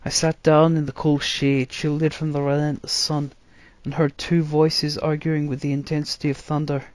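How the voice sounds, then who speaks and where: Guy reading fantasy story out loud, dramatic narration, voice a bit high, no room echo. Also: little pitch movement, normal speed. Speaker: narrator, reader.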